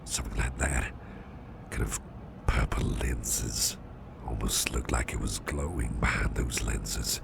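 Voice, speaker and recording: A man playing a gruff but caring, protective boss in an ASMR roleplay, speaking in a gravelly half-whisper and rambling softly and hesitantly to the listener. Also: very deep voice, a little slow, full character voice. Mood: musing, conspiratorial. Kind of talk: ASMR roleplay, soft intimate storytelling to the listener. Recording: clearly noisy background hiss or ambience.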